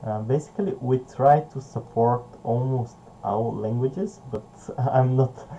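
A young man with a Bulgarian accent, not a native English speaker, leading a screen-recorded software tutorial in a halting way, with fillers and soft laughs. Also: medium pitch. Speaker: software company founder. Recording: dull, lo-fi home recording with faint hiss.

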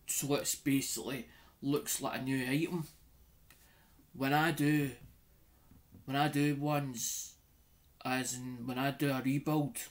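A man talks slowly and unhurriedly, recorded on a webcam in a small room at home. He rambles, circles back and restarts his sentences, in an easygoing, mid-pitched voice. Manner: rambling, with many restarts and "as in" asides. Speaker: vlogger. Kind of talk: casual vlog. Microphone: webcam in front of him.

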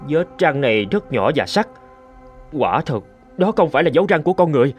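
A man voicing a respectful young Buddhist monk in an animated folk tale, with a deferential way of speaking. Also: fairly high pitch, brisk, soft background music. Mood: respectful, puzzled.

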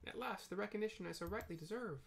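A man's voice: sits high, fast, gravelly, voice pushed up. A man voicing a small, indignant, self-important student researcher from a fantasy game, haughty and exclamatory. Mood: smug. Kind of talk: reading game dialogue aloud.

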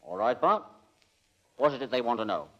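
Unflappable deadpan radio director. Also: male voice, mid-range voice. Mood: bland, evasive. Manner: dry, deadpan, unhurried. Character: blandly unflappable, evasive male radio director. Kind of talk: 1940s radio acting. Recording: dull 1940 radio broadcast recording.

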